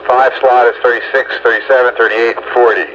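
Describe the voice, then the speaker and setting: A man's voice: mid-range voice, fairly even intonation, quick pace. A man chatting casually and jokingly over two-way radio in a folksy way, as a radio operator speaking into a stock microphone. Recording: lo-fi two-way radio with loud static.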